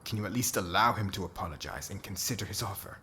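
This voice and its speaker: A man playing a formal, earnest royal diplomat in an ASMR roleplay, speaking in a measured, diplomatic way. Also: on the low side, animated, pushed and bright, a little hiss or hum. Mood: earnest persuasion.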